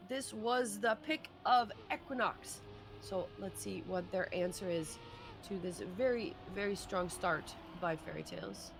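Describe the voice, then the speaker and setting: A woman's voice: medium pitch, unhurried. Female esports caster, faint noise behind. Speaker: esports co-commentator. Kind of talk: live racing game commentary with analysis.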